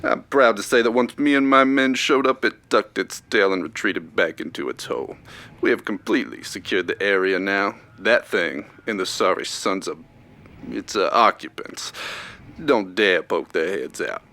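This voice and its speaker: An actor as a forceful, cocky army captain. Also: male voice, lowish voice, normal speed. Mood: proud, cocky. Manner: boastful, forceful. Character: cocky, commanding male army captain in the field. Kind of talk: radio drama field report.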